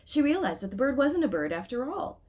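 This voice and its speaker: A woman reading a storybook aloud as an expressive narrator. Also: sits high, a little fast. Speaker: storybook reader.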